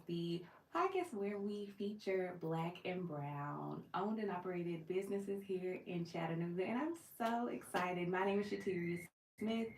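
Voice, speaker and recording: A woman's voice: lowish voice, gravelly, far from the mic, slight background noise. Upbeat woman host, roomy and off-mic. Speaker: podcast host. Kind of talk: radio interview hosting. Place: radio studio. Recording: roomy, off-mic with faint ambience.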